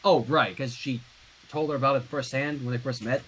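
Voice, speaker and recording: A reader narrating fan fiction expressively on a livestream, animated and profane, stumbling and self-correcting with casual asides. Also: mid-range voice, brisk, slightly rough.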